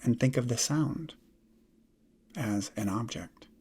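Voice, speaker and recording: A man guiding a meditation in soft, breathy, measured short phrases with long pauses, often trailing into a near whisper. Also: medium pitch. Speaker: meditation guide. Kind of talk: guided meditation, slow instruction.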